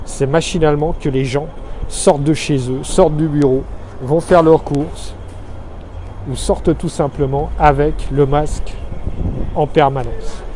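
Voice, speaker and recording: Mellow, higher-pitched man on a city street. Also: steady tone, unhurried. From Strasbourg, Alsace, France. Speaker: expatriate resident giving a video update. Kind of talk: informal spoken video report, explanatory. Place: outdoors on a busy city street corner. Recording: outdoor street noise, passing traffic. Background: faint urban traffic ambience.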